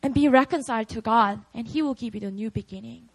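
A woman's voice: a little high, fast talker, poor audio. A young woman with a Korean accent preaching at a prayer meeting, candid and chatty, often laughing at herself.

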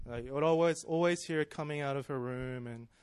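A man's voice: mid-range voice, fairly quick, a little mellow, smooth. A man, preaching in a chatty, self-deprecating storytelling way that often breaks into laughs. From Australia. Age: 30s. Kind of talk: personal storytelling sermon.

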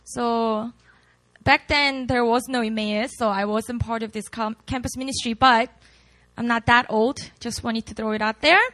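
Bright-voiced Korean-accented woman pastor. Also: on the high side, fairly even intonation, normal speed, no echo. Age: late 20s. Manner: chatty, self-deprecating, laughs often. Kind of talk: sermon with scripture reading.

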